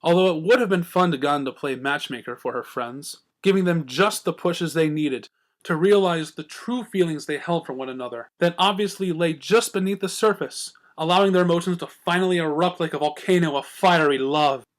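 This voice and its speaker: A man's voice: on the high side, normal speed. A man reading scripted fan fiction as a storyteller. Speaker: story reader.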